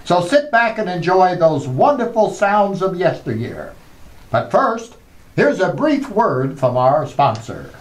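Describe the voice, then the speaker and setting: A man's voice: high-pitched, sing-song, unhurried, a little hiss or hum, low-quality recording. A man working as show announcer, delivering a scripted radio show introduction.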